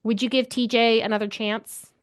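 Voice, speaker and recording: A woman's voice: on the high side, little pitch movement, normal speed, clean tone, no echo, clean studio sound. A woman talking casually as co-host of a book club podcast.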